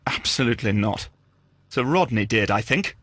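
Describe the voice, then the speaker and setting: Disdainful gentleman, dry recording. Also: on the low side, lively intonation, normal speed, no room sound. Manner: formal, clipped, dismissive. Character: guarded, disdainful Victorian gentleman under questioning. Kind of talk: scripted video game voice acting.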